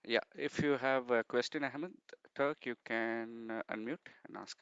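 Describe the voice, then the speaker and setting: A man's voice: medium pitch, steady tone, measured pace, a bit bright, clear, resonant tone, no echo. A man giving a training session over a video call, talking through the material patiently with plenty of "uh" and "okay". His voice sits in an ordinary middle register. The sound is dry and a little muffled, like laptop call audio. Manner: explanatory, uses many fillers and checks like okay. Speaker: sales trainer. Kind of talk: online training webinar.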